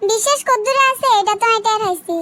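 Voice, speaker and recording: Cartoon casting: demanding young Bangladeshi wife, Noakhali accent, extremely high and very fast, nagging, faint noise behind. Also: female voice, sharp tone. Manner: nagging, insistent. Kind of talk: comedy cartoon dialogue.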